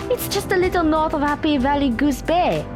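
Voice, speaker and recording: A woman's voice: sits high, lively intonation, normal speed, over music. A woman playing a practical, blunt young woman in an audio drama, speaking matter-of-factly.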